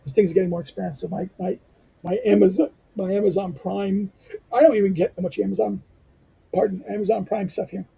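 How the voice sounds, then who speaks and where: A hoarse man winds down a reading lecture in a loose, rambling way, stumbling over fillers and restarting his sentences. His voice sits high for a man, with a rough, gravelly edge and a dark, mellow tone. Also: little pitch movement, brisk. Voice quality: squeaky. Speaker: lecturer and channel host. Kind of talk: informal spoken outro to a reading lecture.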